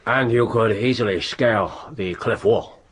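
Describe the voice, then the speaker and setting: An actor playing a genial, courteous middle-aged Japanese spymaster in a radio drama, with a Japanese accent and formal, effusive manner. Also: male voice, lowish voice, unhurried, slightly rough. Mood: suave. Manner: formal, hesitant interjections, effusive. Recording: noisy radio drama with background ambience.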